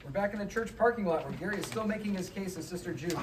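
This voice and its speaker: A woman's voice: medium pitch, animated, fast talker, very noisy. A woman reading scripted stage directions at a live comedy table read. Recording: echoey distant mic, loud audience.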